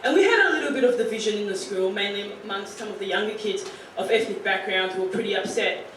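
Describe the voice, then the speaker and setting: A young Australian woman in her late teens, speaking earnestly and casually to high school students, with many fillers like "you know" and "like.". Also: fast talker, a bit bright. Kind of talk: informal talk to a student audience. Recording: very echoey room, picked up from far off, faint hiss.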